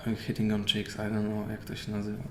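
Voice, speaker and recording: A man with a Polish accent, a native Polish speaker, chatting as a podcast guest and often pausing to search for English words. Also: lowish voice, completely flat delivery, fairly bright tone. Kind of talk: casual interview chat. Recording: echoey room with faint hiss.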